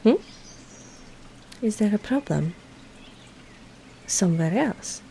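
Woman acting coy, slow-speaking flirtatious maid, soft and creaky. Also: medium pitch, animated, a little hiss or hum. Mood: teasing, curious. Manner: coy, playful, soft breathy asides, slow deliberate phrasing. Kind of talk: intimate roleplay audio drama, partly whispered.

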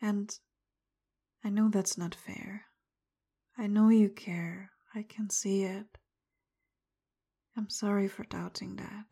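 A woman talking softly and very slowly in a breathy, husky voice with a gravelly fry. Her tone is mellow and dark, and she speaks directly to the listener. Much of what she says is whispered. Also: medium pitch, level tone. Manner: apologetic, tender, soft-spoken; frustrated and confrontational at first. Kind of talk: roleplay audio addressed to the listener.

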